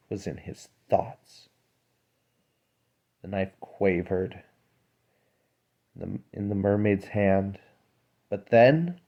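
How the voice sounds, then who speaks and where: A man reading a fairy tale aloud as a storyteller, in a measured, dramatic way. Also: fairly low pitch, lo-fi sound.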